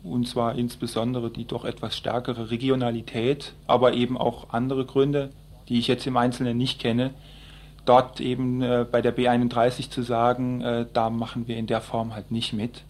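A man giving spontaneous, explanatory answers in a radio interview, speaking in a hesitant, halting way. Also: mid-range voice, monotone, darkish tone. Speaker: environmental group activist being interviewed.

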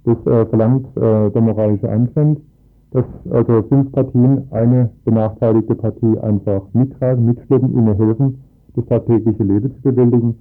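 A man's voice: mid-range voice, steady tone, moderate pace. A man speaking earnestly and emphatically as a resident in a political radio interview. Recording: tinny phone-like band, music behind.

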